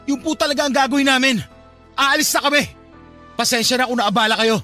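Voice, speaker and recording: An actor playing a protective, dutiful young son with a wounded side, in a radio drama. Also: male voice, very high-pitched, fairly quick, bright, forceful tone, soft background music. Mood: protective, restrained. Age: young adult.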